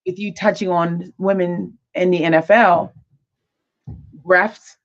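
A woman hosting an interview, speaking in a halting way with many restarts and fillers. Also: fairly low pitch, fairly even intonation, dull audio. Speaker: podcast host. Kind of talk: casual interview chat.

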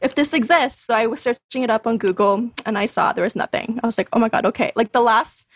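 A woman in her twenties, a podcast guest telling her story in a chatty, animated way, full of fillers and laughs. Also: a little high, fast, no echo. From Canada. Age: 20s. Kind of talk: casual interview storytelling.

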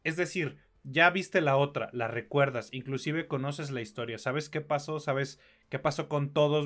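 A man with a Mexican accent chatting casually as he reviews films on a podcast, talking discursively with explanatory asides. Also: medium pitch. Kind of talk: casual film review chat.

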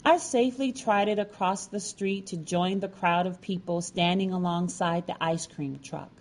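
A woman narrating a personal story from a script, with deliberate, dramatic phrasing. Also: lowish voice. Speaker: featured storyteller.

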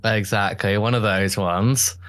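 A man's voice: on the low side, steady tone, moderate pace, fairly bright tone. A young man telling his story as a podcast guest in a casual, chatty way, often laughing and quoting himself.

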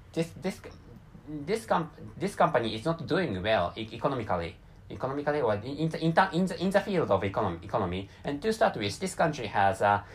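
A man with a Japanese accent hosts a solo talk in English, his second language. He talks in a halting way, full of restarts and repeated words. His pitch swings up and down a lot, and his voice sits in a middle range. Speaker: podcast host.

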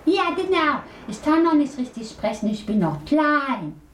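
A woman voicing an excitable, proud little baby parrot with childish mispronunciations in a children's puppet play. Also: measured pace. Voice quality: childlike, high-pitched voice. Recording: echoey room, distant mic, faint noise.

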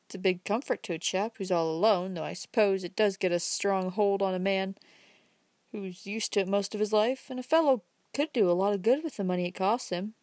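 A woman's voice: mid-range voice, fairly quick, darkish tone, dry recording, clean studio sound, natural voice. A woman voicing a guarded, bitter young drifter, reading an audiobook.

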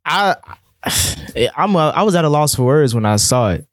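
A man in his twenties chatting casually about films, feeling for his words in a halting way. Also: on the high side, sing-song, no room sound, clean studio sound. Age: 20s. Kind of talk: casual group chat.

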